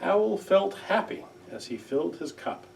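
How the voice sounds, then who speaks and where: A man reading a children's storybook aloud in a gentle, measured, expressive way. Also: high-pitched, vocal fry, distant mic, slight background noise. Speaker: library director reading aloud.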